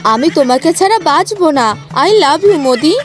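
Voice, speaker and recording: High-voiced lovestruck village woman acted by a woman. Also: expressive, measured pace, soft background music. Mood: lovestruck, pleading. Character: lovestruck, pleading village woman in a comic cartoon.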